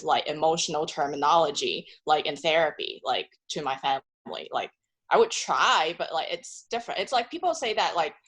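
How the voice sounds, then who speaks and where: Low-voiced chatty woman, rambling casually. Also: fairly bright tone. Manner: chatty, rambling, lots of "like" fillers, laughs often. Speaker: audience member asking a question. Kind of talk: casual chat. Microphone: video call.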